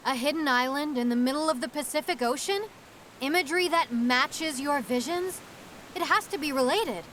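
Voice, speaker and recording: An actress playing a strong-willed, idealistic scientist in an audio drama, firm and pointed, with a wounded edge. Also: female voice, sits high, moderate pace, a bit bright, a little hiss or hum. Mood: urgent, reproachful.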